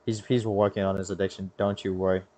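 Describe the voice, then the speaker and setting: A man's voice: low voice, flat delivery, quick pace, warm tone. A young adult American man hosting a podcast in a casual, slangy, rambling way, often cutting himself off mid-thought. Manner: slangy, rambling, self-interrupting. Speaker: podcast host. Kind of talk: casual chat.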